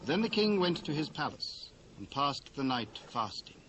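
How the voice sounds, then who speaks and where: A British man narrating scripture from a script in a formal, measured storytelling manner. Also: on the high side, sing-song, a bit bright, low-quality recording. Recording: old cassette with faint hiss.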